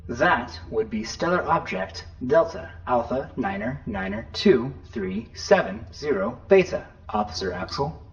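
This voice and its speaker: A man voicing a polite, literal-minded spaceship computer in an audio drama, formal and deadpan, with a muffled sound. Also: mid-range voice, a little slow, creaky. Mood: polite, matter-of-fact.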